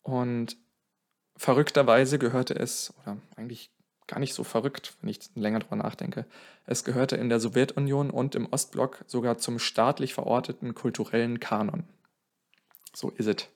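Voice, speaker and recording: A man's voice: medium pitch, steady tone, normal speed. A man telling a song's history in casual podcast talk, often correcting himself mid-sentence. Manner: explains at length, self-correcting, thinking aloud. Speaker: podcast co-host.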